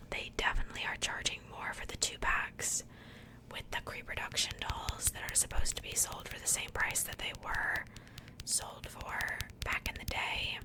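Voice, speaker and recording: A woman whispering softly and breathily in a casual, gentle ASMR style, chatting conversationally close to the microphone. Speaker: host of an ASMR doll unboxing channel.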